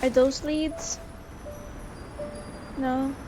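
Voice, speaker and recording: A woman's voice: high voice, level tone, very slow. A woman streamer giving casual, playfully exasperated and dramatic reaction commentary over gameplay. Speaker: live game streamer. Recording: game music audible behind.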